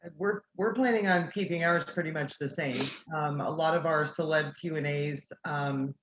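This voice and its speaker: A woman's voice: sits low, normal speed, roomy sound, muffled recording. A woman who organizes a convention, chatting casually and telling a self-deprecating story. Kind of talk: casual video call chat.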